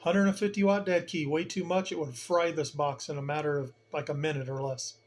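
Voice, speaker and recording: A man's voice: a little high, steady tone, fairly quick, slight background noise. A man giving a casual hands-on demonstration as a hobbyist host, plain-spoken and colloquial, with emphatic turns of phrase.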